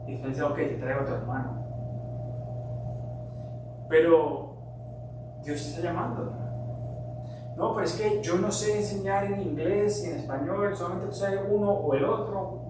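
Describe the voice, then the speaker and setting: Man, pastor from Colombia, native English speaker, earnest sermon, distant mic, steady hum behind. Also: high voice, a little slow, pauses often, heavy reverb. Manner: earnest preaching with shifts between emphatic and quiet. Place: church sanctuary.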